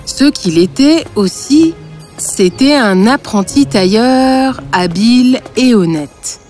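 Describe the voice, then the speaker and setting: A woman's voice: on the high side, animated, takes it slowly, darkish tone. Woman narrating a fairy tale over music.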